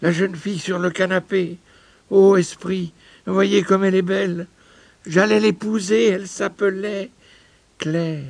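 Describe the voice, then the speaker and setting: A frightened old miser full of regret, in a storybook tale. He wails and pleads in a pitch pushed far up, drawing his words out slowly. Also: a little mellow, no echo. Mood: wistful. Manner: high, wailing, pleading delivery. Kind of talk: storybook dramatization.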